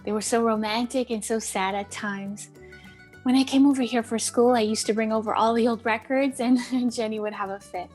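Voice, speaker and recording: A woman's voice: a little high, fairly even intonation, normal speed, darkish tone, soft background music, lightly acted. A woman playing a caring but firm middle-aged Cuban aunt in a play table read, coaxing and mixing in Spanish endearments. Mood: gentle, nostalgic.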